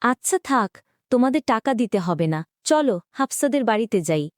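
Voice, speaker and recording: A woman playing a kind, caring schoolteacher in a cartoon, gentle and earnest in manner. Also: fairly high pitch, sing-song, a little fast, background noise. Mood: kind, concerned. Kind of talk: cartoon voice acting.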